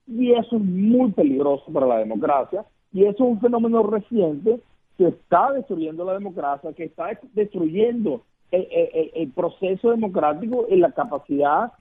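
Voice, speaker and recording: Venezuelan man on a husky phone line. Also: high-pitched. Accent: Venezuelan Spanish. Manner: earnest, repeats words while searching, rhetorical questions. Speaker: political consultant guest. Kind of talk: radio interview over the phone.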